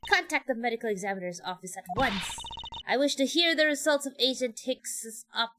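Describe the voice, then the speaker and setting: A woman's voice: on the high side, brisk, background noise, natural voice. A woman voicing a haughty, impatient prosecutor as she reads video game dialogue, formal and commanding. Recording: music behind.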